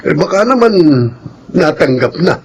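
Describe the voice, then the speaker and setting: A man playing a kindly, simple older foster father in a Filipino radio drama, folksy and gently grumbling. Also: high-pitched, sing-song, moderate pace, breathy edge, exaggerated voice. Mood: reassuring, folksy. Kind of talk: Filipino radio drama acting, homely conversation.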